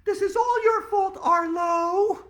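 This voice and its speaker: A man doing a shrill schoolgirl. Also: takes it slowly, heavily acted. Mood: accusing. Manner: shrill, yelling. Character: excitable young schoolgirl. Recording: roomy echo.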